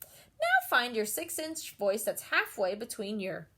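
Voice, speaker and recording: A woman reading a children's picture book aloud like a teacher, expressive and encouraging, putting questions to her young listeners. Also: a little high, slow. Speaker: read-aloud storyteller and teacher.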